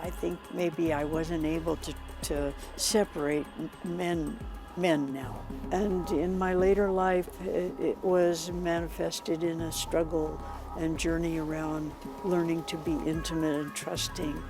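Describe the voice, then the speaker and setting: Halting older woman giving testimony. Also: low voice, steady tone, music in the background. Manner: halting, repeating words, slow. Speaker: abuse survivor interviewed. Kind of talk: personal testimony.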